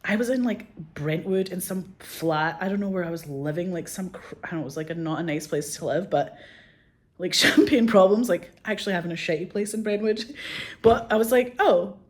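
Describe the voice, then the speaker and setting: A woman's voice: lowish voice, a little fast. A woman in her forties telling stories in a loose, chatty way, full of fillers, laughs and swearing. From Scotland. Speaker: filmmaker guest. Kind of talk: casual storytelling.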